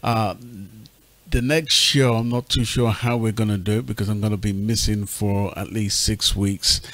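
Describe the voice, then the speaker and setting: A man hosting a radio talk show, giving appreciative, courteous shout-outs and sign-offs. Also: on the low side, steady tone, normal speed, no room sound. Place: online radio panel show.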